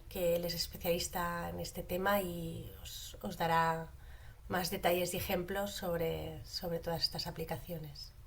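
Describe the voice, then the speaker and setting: Hesitant woman opening a webinar. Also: on the low side. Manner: hesitant, many fillers. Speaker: webinar host. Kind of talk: introducing a webinar.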